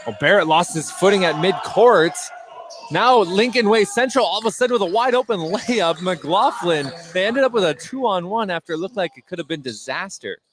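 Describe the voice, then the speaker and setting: Higher-voiced man calling basketball over gym noise. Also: lively intonation, moderate pace. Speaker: play-by-play sports commentator. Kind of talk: live basketball radio commentary. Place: at courtside in an indoor gymnasium. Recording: live game broadcast over loud gym noise.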